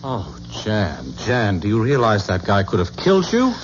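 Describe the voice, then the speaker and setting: A man's voice: lowish voice, wildly swooping pitch, moderate pace, dull audio. An actor playing a concerned, protective male family friend in a radio drama. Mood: worried. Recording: distant voice in loud-hissing old broadcast.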